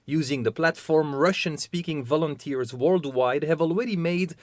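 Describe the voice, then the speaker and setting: Male news reporter, slightly high voice. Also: moderate pace, dry recording, clean studio sound. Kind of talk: scripted news narration.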